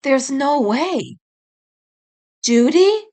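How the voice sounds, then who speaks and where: A woman voicing a grieving male fox in an audio story, downcast and halting, quick to get annoyed. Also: high-pitched, very animated, a little mellow, exaggerated voice. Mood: guarded, annoyed.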